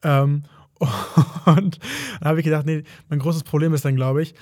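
A man's voice: a little high, fairly even intonation, unhurried, darkish tone, no room sound, clean studio sound. Joking man in casual podcast chat. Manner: joking, playful, many fillers and restarts.

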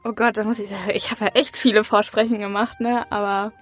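A woman's voice: mid-range voice, level tone, a little fast, a bit bright, faint music behind. A young woman telling anecdotes candidly in a casual chat as an interview guest. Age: young adult. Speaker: interview guest, acting student.

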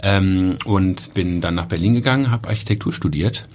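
A man talking calmly about his training and career as an architect, with frequent fillers. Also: deep voice, normal speed. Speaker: architect and festival co-organizer. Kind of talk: casual podcast conversation.

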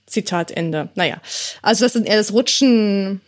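A woman's voice: medium pitch, moderate pace. A woman co-hosting a podcast, chatting casually about gestures, laughing often and using many fillers. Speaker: podcast co-host.

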